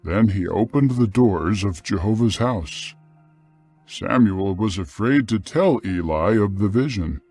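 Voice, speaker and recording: A man narrating dramatized scripture in a solemn, measured, clearly phrased manner. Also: lowish voice, animated, a little mellow, soft background music.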